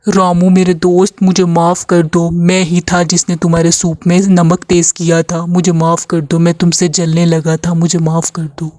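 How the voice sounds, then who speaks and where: A man's voice: a little high, monotone, moderate pace, hardly any pauses, a little mellow, dry recording, studio quality, close to an everyday voice. A man playing a humble, earnest village soup seller in a moral story. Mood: pleading. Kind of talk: moral story character dialogue.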